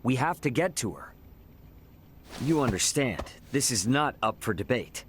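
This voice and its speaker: A man's voice: medium pitch, lively intonation, unhurried, a little hiss or hum, lightly acted. An actor playing an earnest young male adventurer in a video game, plain-spoken and casual. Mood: determined.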